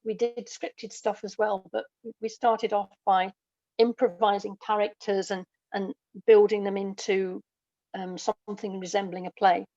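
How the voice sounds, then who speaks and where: A woman's voice: medium pitch, level tone, unhurried, warm tone, no echo, dull audio. A middle-aged woman guest, a writer and performer, telling stories conversationally in an interview. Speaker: guest, audio dramatist and performer.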